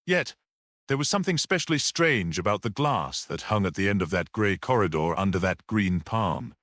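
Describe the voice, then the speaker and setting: Storybook priest-detective, read by a man: mild, perceptive, unruffled, low-pitched with lively movement, dry close sound. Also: no room sound. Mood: unruffled monologue. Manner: grave, measured, unruffled, almost dreamy.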